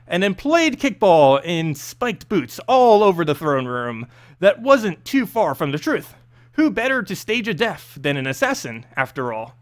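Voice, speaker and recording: A man reading a fantasy story aloud as narrator, expressive, now and then stumbling over a word. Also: high voice. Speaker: host reading game lore story aloud.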